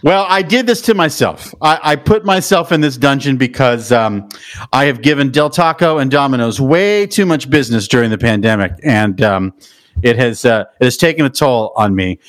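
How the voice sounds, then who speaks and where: A man hosting a podcast in casual chat. He talks in a loud, profane and playful way, with sudden high whoops. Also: animated, moderate pace. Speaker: podcast host.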